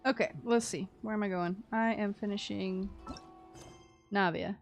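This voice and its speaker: A woman livestreaming a game, chatting casually in a playful, teasing, giggly and expressive way. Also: mid-range voice, measured pace, creaky, faint music behind. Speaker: livestream host. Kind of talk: casual game-stream chat.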